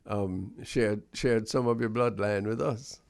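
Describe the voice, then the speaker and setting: A man's voice: lowish voice, unhurried. A man from Jamaica hosting a radio talk show, asking questions emphatically and stumbling over his words.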